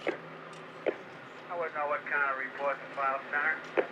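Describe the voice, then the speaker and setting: A composed airline pilot in a film drama, with a slightly high voice. He reports in understated radio phrases over a dull, noisy cockpit link. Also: little pitch movement, slow pace. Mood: guarded. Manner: understated, matter-of-fact. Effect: cockpit radio filter. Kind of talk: radio procedure talk in a film.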